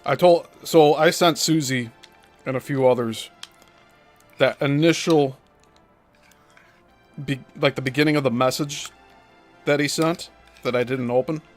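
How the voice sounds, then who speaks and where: A man's voice: medium pitch, unhurried, pauses often. Brief: male host reacting to clips, cursing and contemptuous, music under him. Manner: profane, scornful, mocking. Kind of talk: angry commentary over clips.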